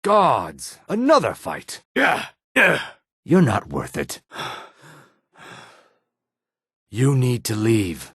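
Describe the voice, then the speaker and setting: A man voicing a gruff, combative male lizard-man townsman in a fantasy video game, with a raspy, throaty voice and a curt, theatrical delivery. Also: medium pitch, huge pitch swings, slow pace, no room sound, clean studio sound, exaggerated voice. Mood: stern, affronted. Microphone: close studio microphone, dry.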